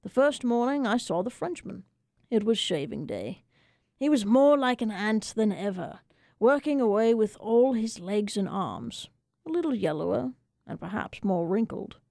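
Woman narrating a story audiobook, dramatic and animated, close dry studio sound. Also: medium pitch, unhurried, creaky, dry recording. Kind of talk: dramatic first-person story reading. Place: radio studio.

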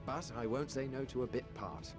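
Guy doing amused posh-mannered ghost gentleman, video game, playful n eloquent, music going behind. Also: mid-range voice, normal speed, lightly acted. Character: genial urbane robed shade, amused theatre-lover. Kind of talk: dramatic video game cutscene acting.

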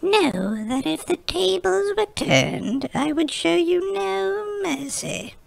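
A man voicing a tiny, grandiose, merciless mage, squeaky through a helium voice-changer effect. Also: expressive, takes it slowly, creaky, exaggerated voice, rough recording. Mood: grandiose threat. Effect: male and helium voice-changer effects combined.

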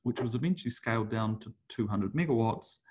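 A man's voice: medium pitch, a little fast, darkish tone, husky. A middle-aged man with a New Zealand accent, giving a conference talk over slides, hesitant with many fillers and restarts. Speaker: conference speaker. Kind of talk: webinar presentation over slides.

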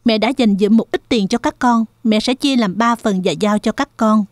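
A woman's voice: fairly high pitch, normal speed, no echo, clean studio sound, natural voice. A woman voicing a caring, protective mother pig in a children's cartoon. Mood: reassuring.

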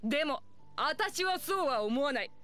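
A woman playing a proud, feisty village meat chef in an anime-style game, brisk and self-assured. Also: high voice, animated, fairly bright tone, soft background music.

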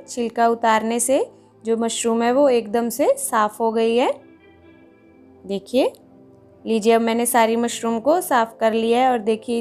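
A woman hosting a home cooking video, patiently explaining each step in a calm instructional voice-over. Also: fairly high pitch. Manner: patient, explains each step, often says "dekhiye, lijiye". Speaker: cooking channel host.

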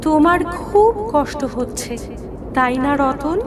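A woman's voice: high voice, lively intonation, slow pace, crowd noise. A woman voicing a kind forest goddess in a cartoon fairy tale, sympathetic and comforting. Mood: compassionate.

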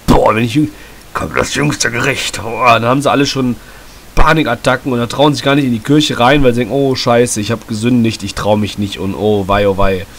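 A man with a slight cold commenting casually and sarcastically on a story game, often clearing his throat. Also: mid-range voice, steady tone, brisk. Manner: chatty, joking, sarcastic. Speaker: let's play host. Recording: hissy home mic.

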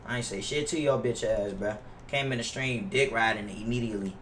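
Young male streamer, casual and complaining with lots of swearing; middle-pitched, roomy distant mic with background noise. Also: brisk, fairly bright tone. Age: young adult. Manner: slangy, profane, complaining. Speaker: livestreamer playing a basketball video game. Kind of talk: casual live stream chat and gameplay reaction. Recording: roomy echo, off-mic, noisy with keyboard clicks.

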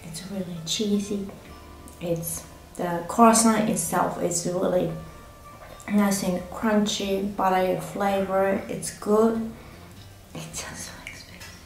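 Slow, chatty woman reviewing food. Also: mid-range voice, creaky. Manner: relaxed, chatty, with many fillers and restarts. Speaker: food vlogger. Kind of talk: casual food review to camera. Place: small indoor room. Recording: echoey room with faint music.

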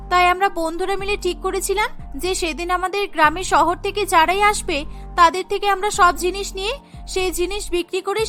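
A woman's voice: extremely high pitch, sharp tone. A woman voicing a bold young female village bandit, defiant and earnest, in a cartoon story.

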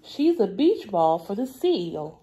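A woman's voice: mid-range voice, big pitch swings, takes it slowly, warm tone, breathy edge, rough recording. A young woman speaking as a preschool teacher, reading aloud to small children in simple, repeated, stretched-out phrases. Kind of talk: children's read-aloud lesson. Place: indoor classroom.

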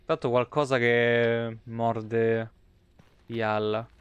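Sweary man with a clear voice. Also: mid-range voice, flat delivery, very slow, dry recording, highs cut off. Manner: sweary, blunt, wry. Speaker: video game streamer. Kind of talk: casual live gameplay commentary.

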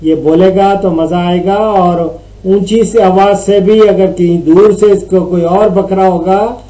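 A man's voice: high voice, fairly even intonation, slow, dark tone, low-quality recording. A middle-aged man from Pakistan giving farm advice to callers in a friendly, joking phone-in chat, recorded on a webcam in a small room. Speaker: agricultural advisor answering callers.

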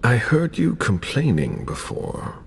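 An actor voicing a guarded, curt and composed vampire agent in a video game, with a low, gravelly, husky voice. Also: huge pitch swings, slow pace, heavily acted. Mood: guarded, probing.

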